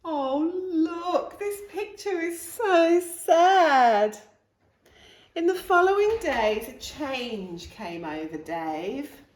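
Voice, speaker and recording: A woman reading a children's picture book aloud in an animated way, with exclamations and asides to young listeners. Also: high-pitched, extremely slow. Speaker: storytime reader. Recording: roomy, echoing room sound.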